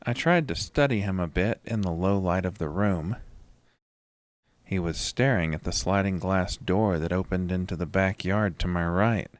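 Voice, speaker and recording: A man narrating a scripted first-person horror story as a dramatic, measured storyteller. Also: deep voice, no room sound.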